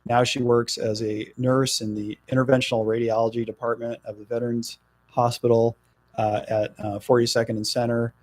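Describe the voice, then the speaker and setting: A middle-aged man from New Jersey, a political candidate talking in a radio interview, earnest and halting with frequent fillers. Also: medium pitch, little pitch movement, darkish tone, no echo.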